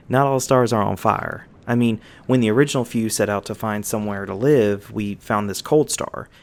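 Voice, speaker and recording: Easygoing magi guide, played by a man, gravelly, patient fantasy storyteller for ASMR roleplay. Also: on the low side, normal speed, close to an everyday voice. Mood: relaxed, friendly. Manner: folksy, patient explaining.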